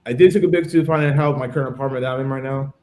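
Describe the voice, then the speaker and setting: A man's voice: medium pitch, fairly even intonation, very fast, a little mellow. An American man in his thirties talking casually in a conversational interview, explaining things in a chatty way. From United States. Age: 30s. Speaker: podcast participant, English teacher.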